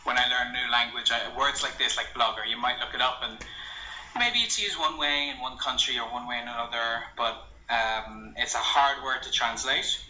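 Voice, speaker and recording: Bright-voiced man, roomy echo. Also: mid-range voice, moderate pace, slight background noise. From Ireland. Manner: relaxed, meandering, with frequent ums and uhs. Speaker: language blogger. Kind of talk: casual app walkthrough. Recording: roomy, slightly dull home recording.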